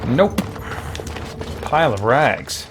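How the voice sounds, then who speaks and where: A man gives casual live game commentary in a mid-range voice. He rambles and thinks aloud, and game music is clearly audible behind him. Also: mid-range voice, sing-song, drawn-out words. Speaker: game streamer.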